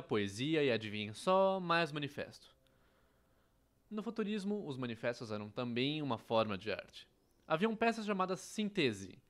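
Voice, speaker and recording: A man's voice: fairly high pitch, a bit bright. A man with a Brazilian accent reading a scripted educational narration, with a wry, ironic manner. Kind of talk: scripted explainer narration, dubbed.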